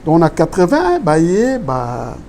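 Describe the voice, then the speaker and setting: A man's voice: fairly high pitch, huge pitch swings, takes it slowly, darkish tone. Casting: male political guest, lecturing tone, code-switching, faint noise behind. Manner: switches between French and Lingala, explains step by step, asks rhetorical questions. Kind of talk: explaining law in an interview.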